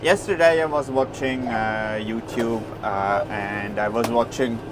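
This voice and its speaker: Slow-talking Swiss German man on a tractor. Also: medium pitch, fairly even intonation. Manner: rambling, halting, many fillers and restarts. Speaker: farmer vlogger. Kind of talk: casual talk while mowing in a tractor. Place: tractor cab in a field. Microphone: wireless clip-on mic. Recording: clear engine noise behind voice. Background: tractor engine noise.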